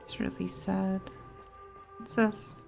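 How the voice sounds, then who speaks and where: A woman's voice: mid-range voice, level tone, slow, gravelly, a little mellow, soft background music. A woman doing casual gameplay commentary, playful and switching into reading game text aloud. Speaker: game streamer.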